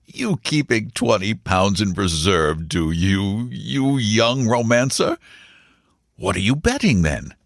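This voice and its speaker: A man's voice: medium pitch, huge pitch swings, normal speed, no room sound, studio quality, voice pushed up. A man voicing an amused, indulgent, jovial uncle in a storybook. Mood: teasing. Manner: jovial, teasing. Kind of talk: storybook character dialogue.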